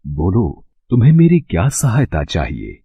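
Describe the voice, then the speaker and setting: A man's voice: low voice, huge pitch swings, normal speed, mellow, husky, no room sound, muffled recording, heavily acted. A man voicing a mighty, benevolent monkey god in a devotional cartoon story, speaking in a solemn, stately way. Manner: solemn, stately, formal blessing-giver.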